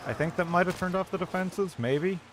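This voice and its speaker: A man's voice: sits high, fairly quick. A man giving casual, unscripted gameplay commentary as a streamer, puzzled and thinking aloud in fragments. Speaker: game streamer. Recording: clear game noise behind voice. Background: video game sound effects and ambience.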